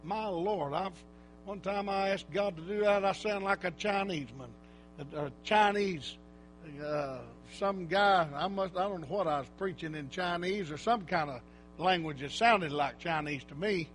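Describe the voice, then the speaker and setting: A man preaching informally in church, rambling and folksy, breaking into loud exhortations. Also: high-pitched, normal speed, a little hiss or hum.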